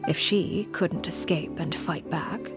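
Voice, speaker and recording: An actress playing a grave, composed woman who recounts a tragic tale in measured, hushed phrases. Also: deep voice. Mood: somber. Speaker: storytelling game character. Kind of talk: scripted dramatic narration. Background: game music.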